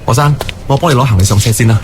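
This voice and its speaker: An actor playing an earnest, deferential young manager and boyfriend in a radio drama, polite and measured. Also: male voice, mid-range voice, close to an everyday voice. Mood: earnest, informative. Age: young adult. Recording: studio radio drama, music bed.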